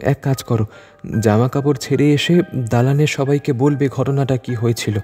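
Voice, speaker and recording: A man's voice: medium pitch, steady tone, normal speed, warm tone, big-room sound, faint music behind, close to an everyday voice. A man voicing an anxious, insistent young woman in a dramatised audio story.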